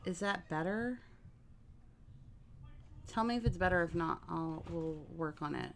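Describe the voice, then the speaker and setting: A woman's voice: fairly low pitch, unhurried, slight background noise. Nasal, chatty woman hosting a craft stream. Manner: chatty, addresses viewers directly, rambling. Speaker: craft livestream host. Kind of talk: casual live sewing chat. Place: home craft room, indoors.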